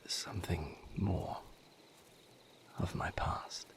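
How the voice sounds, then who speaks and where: A man playing a brooding, tender vampire lover with a painful past, speaking hesitantly and formally in a breathy, intimate audio roleplay monologue. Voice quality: breathy, whispered, low-pitched. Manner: hesitant, formal wording, measured, pausing.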